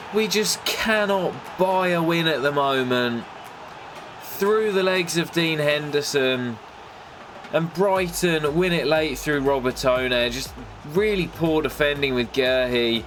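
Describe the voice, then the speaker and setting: A young man with a nasal voice from a cold, commentating his football game in a casual play-by-play that jumps up excitedly at big moments. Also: a little hiss or hum. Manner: excitable, bursts up in pitch at goals and misses. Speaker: gaming YouTuber commentating his career mode.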